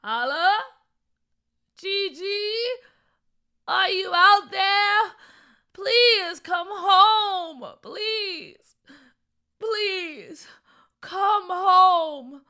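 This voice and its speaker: A woman's voice: high voice, measured pace, a bit bright. A woman voicing a loving, worried father in a story, tender and pleading, drawing out the words. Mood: pleading, hopeless. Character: loving, worried, ailing father of two children.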